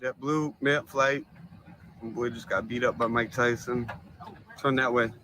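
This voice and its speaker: A man's voice: medium pitch, fairly even intonation, a little slow, pushed and bright. A man talking casually and in slang while filming a video inside an airplane cabin. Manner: slangy, informal. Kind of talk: casual excited chat. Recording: noisy phone video amid crowd. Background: crowd chatter, vehicle cabin noise.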